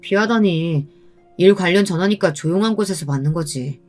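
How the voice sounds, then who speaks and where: A woman's voice: on the low side, moderate pace, darkish tone, faint music behind, close to an everyday voice. A woman voicing a weary, evasive newlywed husband with a curt, dismissive manner in an audio story. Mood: tired, defensive.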